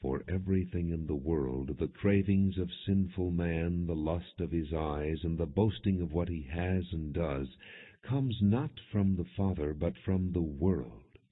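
Deep-voiced man reading scripture, muffled audio. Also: a little slow, breathy edge. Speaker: audiobook narrator. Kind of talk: non-dramatized Bible reading. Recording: muffled, phone-like narrow-band audio.

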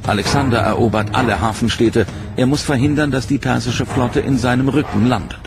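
A man reading a serious, measured documentary narration. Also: mid-range voice. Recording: narration over loud music.

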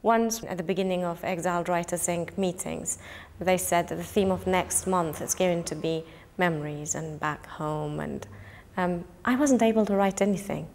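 Woman poet from kurdistan, native kurdish speaker, low-pitched, thoughtful pauses, lapel mic indoors. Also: a little mellow. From Kurdistan, northern Iraq. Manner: thoughtful, searching for words, gestures with hands. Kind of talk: documentary interview. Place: indoors, in front of a purple wall.

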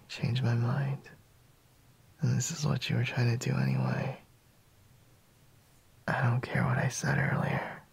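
A man playing a sleepy, intimate boyfriend in a whispered ASMR roleplay, murmuring haltingly in a breathy, husky voice. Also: mid-range voice, full character voice. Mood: breathy, coaxing.

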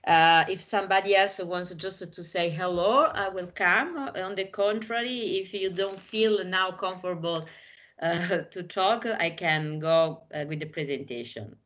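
A woman's voice: fairly low pitch, unhurried, fairly bright tone, dull audio. A woman presenting an online art training course over a video call, speaking hesitantly with many fillers and restarts in English that is not her first language. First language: Italian. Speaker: webinar trainer.

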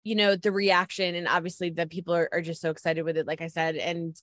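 A woman's voice: fairly low pitch, level tone, fast, fairly bright tone, no room sound. A woman interviewing casually over a video call, effusive and chatty, with long rambling questions. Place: room at home, video call.

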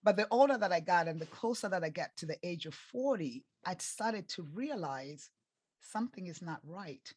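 Woman, 40s, East African accent, lowish voice, earnest and emphatic, dry close recording. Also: lively intonation, normal speed, breathy edge, no room sound. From Tanzania and Zambia. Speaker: podcast guest, life coach. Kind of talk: interview storytelling.

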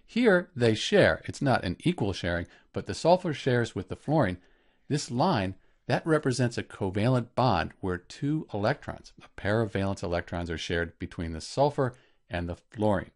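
A man narrating an instructional lesson as a teacher, explaining clearly step by step. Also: lowish voice, expressive, moderate pace. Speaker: chemistry teacher.

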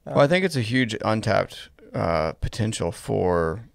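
A man in his thirties co-hosting a casual podcast, speaking in a measured, tentative way close to a studio microphone. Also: on the low side, expressive, vocal fry, no echo. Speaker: podcast co-host. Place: small indoor podcast studio. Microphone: close boom-arm studio microphone.

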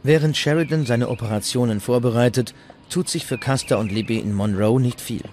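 A man's voice: medium pitch, fairly quick, a little mellow, slight background noise. A man reading scripted documentary narration as the narrator. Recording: music bed behind the voice. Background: music.

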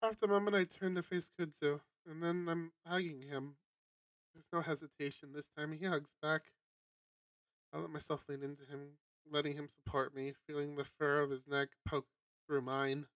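A man's voice: a little high, flat delivery, normal speed, a bit bright, no echo, distant mic, rough recording. A man streaming a visual novel, reading its narration aloud in a dramatic storytelling style.

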